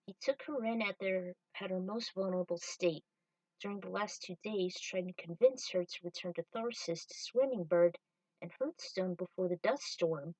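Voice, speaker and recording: A woman narrates a fan-written story as its storyteller, at a medium pitch. She sits slightly away from the microphone, with little room sound around her. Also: a little mellow, dry recording, far from the mic, rough recording.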